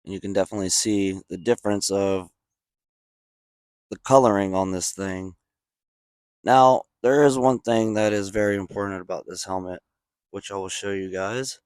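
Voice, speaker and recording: A man's voice: sits low, steady tone, normal speed, long pauses, a little mellow, smooth. A man speaking casually and plainly to viewers as he hosts a hobby video walkthrough.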